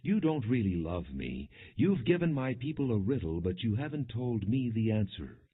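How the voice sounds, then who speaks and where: A man's voice: fairly low pitch, very animated, normal speed, breathy edge, voice pushed up, full character voice. A man voicing a tearful, reproachful young bride, pleading in a scripture reading on slightly muffled audio.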